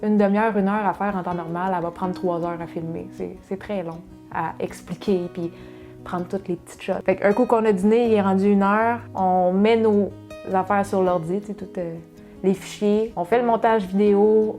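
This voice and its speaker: A woman's voice: mid-range voice, fairly even intonation, mellow, faint music behind. A chatty woman in her thirties with a Québécois accent, co-hosting a casual cooking channel Q&A. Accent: Québécois French. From Quebec, Saguenay. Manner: chatty, fast, with many fillers and restarts. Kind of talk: casual Q&A chat.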